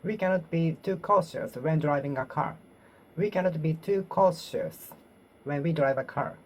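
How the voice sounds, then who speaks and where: A woman with a Japanese accent talks to herself in English, trying out each phrase several times and fixing her own wording. Her voice sits low for a woman. A faint hiss runs underneath. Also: a little mellow. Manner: repeats and reworks phrases, pausing and self-correcting. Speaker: English learner. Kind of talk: solo speaking practice, talking to herself.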